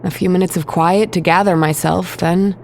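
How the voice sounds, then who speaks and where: A woman playing a telepath, speaking quietly and earnestly with steady focus. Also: lowish voice, normal speed, a little hiss or hum, close to an everyday voice. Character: woman telepath, guilt-ridden but focused. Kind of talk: fan audio drama acting.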